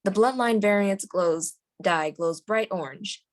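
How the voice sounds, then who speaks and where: Woman narrating a screenplay, medium pitch. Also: a little slow. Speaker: narrator reading screen directions. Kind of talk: scripted narration at a table read.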